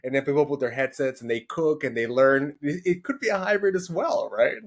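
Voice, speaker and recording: A man's voice: on the high side, fairly quick. A man hosting an interview podcast, talking casually and chattily with many "like"s. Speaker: podcast host. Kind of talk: interview conversation.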